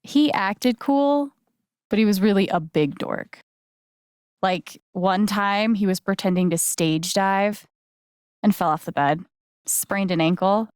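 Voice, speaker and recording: A woman's voice: medium pitch, slow pace, darkish tone, clean tone, no room sound, clean studio sound, close to an everyday voice. A woman voicing a friendly, wry young newcomer in a video game, talking in a casual, naturalistic, dryly joking way. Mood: fond, reminiscing. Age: young adult.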